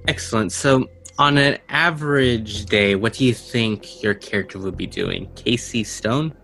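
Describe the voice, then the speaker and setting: A man's voice: fairly low pitch, a little slow, creaky, sharp tone, low-quality recording. A man hosting and narrating a tabletop role-playing game as game master. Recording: music under the voice. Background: music.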